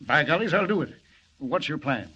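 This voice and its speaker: A folksy, excitable country fellow out of a 1940s radio show. His voice is husky and rustic, on a dull, muffled old recording. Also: a little high, normal speed, heavily acted. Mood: eager. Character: folksy, excitable small-town Western man. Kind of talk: 1940s radio serial acting.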